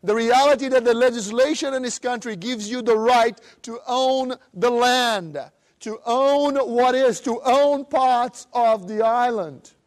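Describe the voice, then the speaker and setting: A middle-aged man from Brazil with a Brazilian Portuguese accent, giving an animated seminar talk and acting out other people's voices. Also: very high voice, a little slow. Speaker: motivational speaker. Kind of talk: live seminar presentation.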